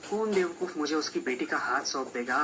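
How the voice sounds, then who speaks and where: A man's voice: on the high side, animated, brisk, very noisy, close to an everyday voice. A man voicing a brash, foolish young village man in a storybook tale, blunt and demanding. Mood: pondering. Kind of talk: storybook voice acting. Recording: music behind the voice.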